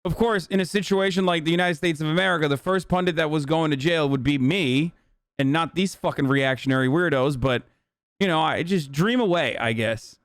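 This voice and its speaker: A man's voice: fairly high pitch, clean tone, dry recording. A man whose first language is Turkish, ranting heatedly and profanely while reacting on a live stream. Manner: profane, heated, rapid rants. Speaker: Twitch streamer.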